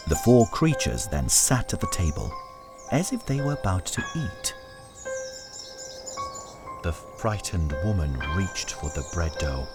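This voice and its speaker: A man narrating a scripted folktale as a storyteller, in a measured, solemn manner with deliberate phrasing. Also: low-pitched. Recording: studio narration over music. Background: music.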